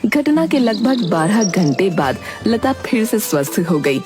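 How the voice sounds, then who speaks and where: A woman's voice: medium pitch, very animated, unhurried. Female storyteller over background music. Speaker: narrator. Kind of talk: scripted storybook narration.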